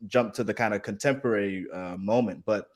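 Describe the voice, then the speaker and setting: A man's voice: lowish voice, brisk. A man hosting a podcast interview, enthusiastic and full of fillers and repeated words. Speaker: podcast host. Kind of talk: casual interview.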